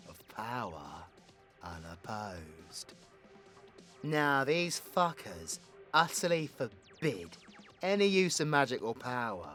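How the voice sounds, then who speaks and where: A cocky British male supervillain played by a voice actor with a bright, forceful edge over music. He swings widely in pitch as he spins his tale, teasing, swearing and flirting with theatrical relish. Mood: scornful, dramatic. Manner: rambling, teasing, dramatic storyteller, sweary. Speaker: audio roleplay voice actor. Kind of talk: villain ASMR roleplay monologue. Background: background music.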